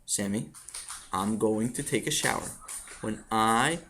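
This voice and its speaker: A man's voice: on the low side, unhurried, sharp tone, slight background noise, voice pushed down. A man voicing a firm, harried father scolding his young son. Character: stern but harried father of a boy.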